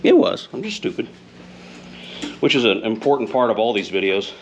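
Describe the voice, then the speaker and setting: A man's voice: lowish voice, big pitch swings, normal speed, muffled recording, slight background noise. A man in his thirties chatting casually in his home garage, joking and poking fun at himself while he works on a motorcycle. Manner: joking, self-deprecating, chatty. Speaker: motorcycle vlogger. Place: home garage with open door. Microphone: camera close up, sometimes set aside.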